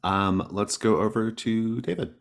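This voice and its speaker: A man with a low voice acts as game master on an online call. He introduces the session in a casual, conversational way. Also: unhurried.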